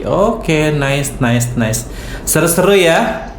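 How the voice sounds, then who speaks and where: A woman's voice: low-pitched, animated, takes it slowly. A woman hosting a casual radio chat, playful and teasing with her guests. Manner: playful, laughs often, teasing. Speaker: radio host. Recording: echoey room with faint background noise.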